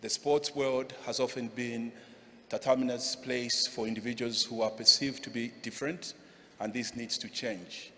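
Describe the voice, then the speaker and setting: A man's voice: mid-range voice, steady tone, normal speed, fairly bright tone. A man giving a formal, scripted speech to present an award. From Zambia. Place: large event hall, on stage. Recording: echoey hall, noticeable background noise.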